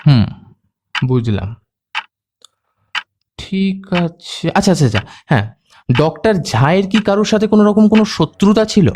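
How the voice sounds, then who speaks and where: An actor playing a composed, polite but insistent private detective in an audio drama, speaking in a measured way. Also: male voice, high-pitched, animated, noisy background. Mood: probing, polite. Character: composed, probing male private detective questioning suspects. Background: background music.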